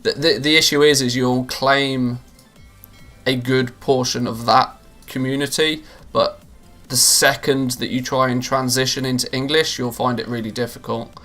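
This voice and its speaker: A man's voice: mid-range voice, steady tone, normal speed, pushed and bright. Rambling male streamer, faint music behind. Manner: rambling, many restarts and fillers. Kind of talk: casual live-stream chat.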